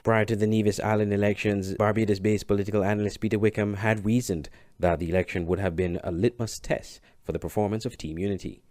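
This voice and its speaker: A man's voice: fairly low pitch, fairly even intonation, quick pace, a little mellow, slight background noise. A man with a Caribbean accent reading a scripted news report as a reporter.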